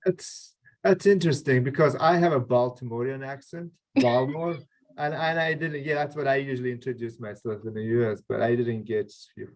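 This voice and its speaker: A man's voice: mid-range voice, steady tone, moderate pace, rough recording. Polite man with an Azerbaijani accent. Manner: polite and formal, laughs shyly. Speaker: podcast guest. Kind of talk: casual interview chat.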